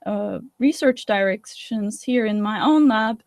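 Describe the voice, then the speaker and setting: A woman from Turkey, a scientist answering interview questions in a thoughtful, measured way with frequent fillers and restarts, heard over a video call. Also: a little high, creaky, a little mellow, no room sound, clean studio sound. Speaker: guest scientist.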